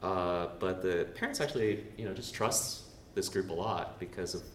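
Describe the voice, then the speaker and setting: A man's voice: low-pitched, moderate pace. A young man from Canada giving a casual, self-deprecating church talk with slides, full of fillers and asides. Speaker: presenter. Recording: roomy, far from the mic, faint noise.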